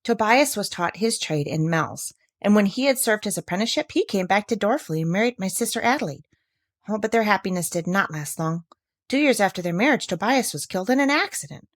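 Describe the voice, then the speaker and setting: A woman playing a brisk, firm young village woman. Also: mid-range voice, animated, no echo, studio quality, lightly acted. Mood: recounting. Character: brisk, self-interested young village woman, defensive and knowing. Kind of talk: storybook character reading.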